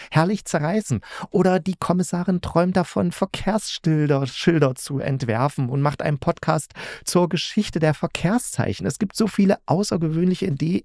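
A man's voice: fairly high pitch, darkish tone, no room sound. Dry, close-miked man, sarcastic podcast critic. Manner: sarcastic, emphatic, colloquial. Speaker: podcast host and audio drama critic. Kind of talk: opinionated conversational review.

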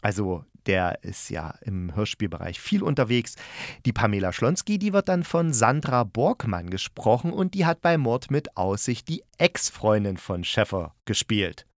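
A man's voice: fairly low pitch, very animated, a little slow, no echo, studio quality. A man hosting a review show, speaking casually and chattily to listeners, thinking aloud and correcting himself as he goes. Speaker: host of an audio drama review show.

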